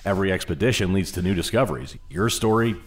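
An actor playing an eager, awkward historian in an audio drama, polite and hesitant. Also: male voice, low-pitched, animated, fast talker. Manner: hesitant, apologetic.

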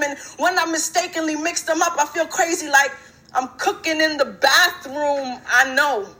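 A woman's voice: very high voice, pushed and bright. A young woman performing spoken word poetry on stage, with a rhythmic, rhyming, emphatic delivery.

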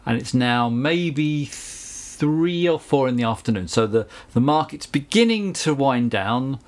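A middle-aged man with a Southern English accent, a recording engineer telling anecdotes in a relaxed interview, chatty with frequent asides. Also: mid-range voice, a little slow. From England. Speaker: guest, recording engineer and producer.

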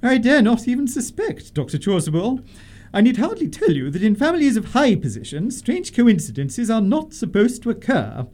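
Snobbish dowager, commanding and haughty, very animated intonation, radio comedy. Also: female voice, fairly high pitch, normal speed. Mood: disdainful. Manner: haughty, commanding, grandly emphatic. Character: imperious, snobbish older aristocratic lady. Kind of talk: stage comedy radio acting.

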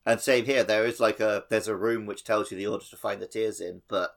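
A man's voice: fairly low pitch, fairly even intonation, moderate pace, vocal fry. A man hosting a podcast, talking casually about video games. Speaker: podcast host. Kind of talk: casual gaming podcast chat.

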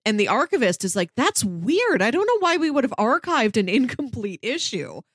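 A woman's voice: on the high side, sing-song, normal speed, dry recording, clean studio sound. A woman co-hosting a podcast, casually explaining her thoughts in loose, conversational sentences. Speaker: podcast co-host. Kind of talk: casual discussion and review.

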